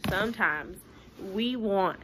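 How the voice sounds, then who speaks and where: A woman's voice: mid-range voice, animated, slow, noisy background. A young woman, talking informally to pupils and parents in a folksy, plain-spoken way with rhetorical questions. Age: young adult. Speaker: schoolteacher. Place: living room at home.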